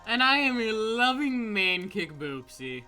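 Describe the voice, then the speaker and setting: A man's voice: sits high, sing-song, measured pace, pushed and bright, faint music behind, heavily acted. A man voicing a theatrical, sarcastic sidekick character in a scripted comedy audio drama. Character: snarky sidekick mascot of the narrator, theatrical and sarcastic.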